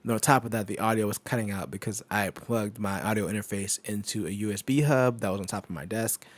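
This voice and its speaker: A man with a low voice chatting casually on a home live stream, rambling with many fillers and frequent laughs, into a microphone on an arm. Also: quick pace. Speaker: tech streamer. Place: home desk setup. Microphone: microphone on an arm through an audio interface.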